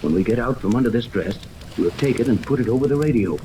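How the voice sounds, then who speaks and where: An actor playing an earnest, authoritative ship captain in a 1930s radio adventure, explaining things with urgency. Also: male voice, mid-range voice, expressive, fast, lightly acted. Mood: earnest, resolute. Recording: noisy old 1930s radio, rain-like hiss.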